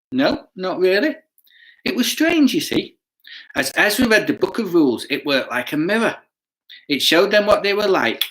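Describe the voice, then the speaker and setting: Guy reading kids a bedtime story, playful, really swoopy highish voice, chats to them as he goes. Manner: playful, expressive, asking listeners questions. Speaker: children's bedtime story reader. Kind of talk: children's storytelling read aloud with chatty asides.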